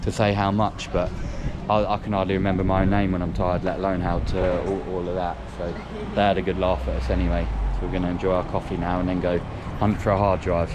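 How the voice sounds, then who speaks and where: A man's voice: sits low, little pitch movement, fast. A man chatting casually in a travel vlog, rambling and restarting his sentences as he goes. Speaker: travel vlogger. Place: outdoors on busy city streets and in a tuk-tuk. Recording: lo-fi with loud street traffic noise.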